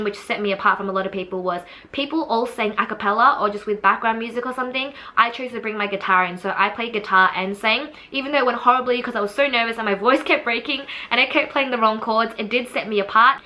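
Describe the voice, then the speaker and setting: A young woman talks quickly, her voice bright and forceful. She chats candidly and casually, using lots of fillers as she tells her story. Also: fairly high pitch. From Australia. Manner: chatty, filler-heavy, candid. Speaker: vlogger telling her audition story.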